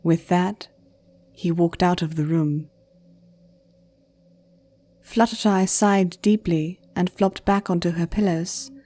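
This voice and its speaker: A woman narrating a scripted story in a measured, gentle storytelling manner. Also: on the low side, steady tone. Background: faint music.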